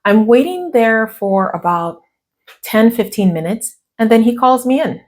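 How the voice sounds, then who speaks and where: A woman's voice: a little high, expressive, a little slow, darkish tone. An American woman vlogging casually, telling a story from her notes with quoted asides, her voice still worn from a cough. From United States. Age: middle-aged. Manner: chatty, reading from notes, quoting others in asides. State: recovering from a cough and lost voice.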